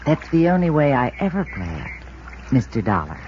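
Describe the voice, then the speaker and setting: Flirtatious American socialite, very animated. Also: female voice, low-pitched, moderate pace, faint music behind. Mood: breezy, insistent. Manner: teasing, breezy. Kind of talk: 1950s radio drama acting. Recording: muffled old radio recording, faint noise.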